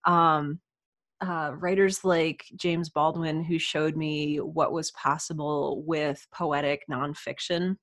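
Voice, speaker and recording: A woman's voice: low-pitched, little pitch movement, takes it slowly, dry recording, clean studio sound. A woman speaking as a podcast guest in casual interview conversation, reflective and earnest.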